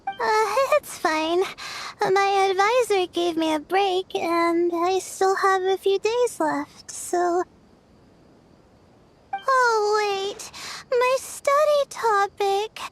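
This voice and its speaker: A woman's voice: extremely high pitch, drawn-out words, a little hiss or hum, exaggerated voice. An actress playing an earnest young woman student who speaks hesitantly and gets flustered. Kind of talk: video game voice acting. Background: game music.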